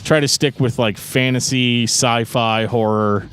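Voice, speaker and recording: A man's voice: medium pitch, fairly even intonation, measured pace, fairly bright tone, slight background noise. A man co-hosting a podcast in casual chat, explaining things in a joking, rambling way. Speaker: podcast co-host.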